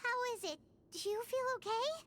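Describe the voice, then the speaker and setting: A woman voicing a tiny childlike fairy companion in a video game, gentle and concerned. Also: extremely high pitch, expressive, moderate pace. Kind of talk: cute video game voice acting.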